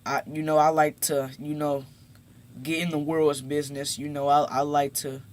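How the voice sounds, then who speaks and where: A teenage boy talking casually to his viewers, halting and repeating words, then growing emphatic as he makes his point. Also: a little high, little pitch movement. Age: teens. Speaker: YouTuber. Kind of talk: casual talk to camera. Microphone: phone.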